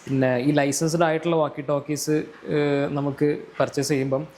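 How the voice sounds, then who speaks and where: A man's voice: on the high side, level tone, moderate pace, darkish tone. A man hosting a podcast, casually asking questions. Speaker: podcast host. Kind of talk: casual interviewing with questions and short echoes.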